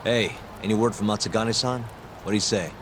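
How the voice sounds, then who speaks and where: An actor playing a terse, determined investigator in a video game, talking in a casual, matter-of-fact way. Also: male voice, on the low side, steady tone, fairly quick, noisy background, natural voice. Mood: businesslike. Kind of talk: video game cutscene acting.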